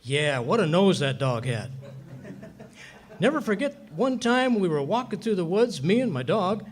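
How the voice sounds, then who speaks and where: A man's voice: sits high, unhurried. A man playing a bumbling, boastful middle-aged husband who spins folksy tall tales in 1940s radio comedy style. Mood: boastful storytelling. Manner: folksy, blustering, excitable tall-tale telling. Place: live staged radio play at a hotel venue.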